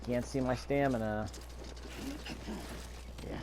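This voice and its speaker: A man's voice: medium pitch, steady tone, slow. A man giving casual let's-play commentary, rambling and thinking aloud as he goes. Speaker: gameplay video host. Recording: clear background noise.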